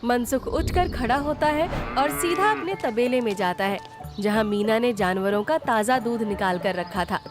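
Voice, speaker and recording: A woman's voice: on the high side, animated, normal speed, music in the background. A woman reading scripted narration for a children's story.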